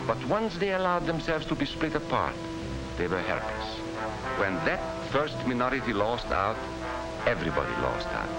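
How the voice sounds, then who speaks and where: A man's voice: mid-range voice, rough recording. A man narrating a 1940s educational film in a solemn, emphatic storytelling manner. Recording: 1940s film narration under loud music.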